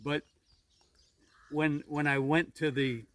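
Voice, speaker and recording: Older man, halting, repeating words. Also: on the high side, steady tone, a bit bright. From New Jersey, USA. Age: 70s. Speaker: interviewee, retired pilot. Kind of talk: casual storytelling.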